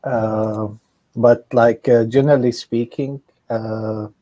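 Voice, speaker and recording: A man from Syria, speaking as a guest in a casual video chat, slow and hesitant, reflecting as he talks. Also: medium pitch, steady tone, mellow, dry recording. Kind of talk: casual conversation.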